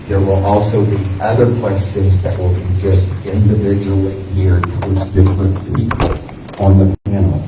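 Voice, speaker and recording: A man making relaxed, chatty announcements to a small meeting room. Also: low-pitched, normal speed. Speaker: event organizer. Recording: roomy, distant, noisy PA.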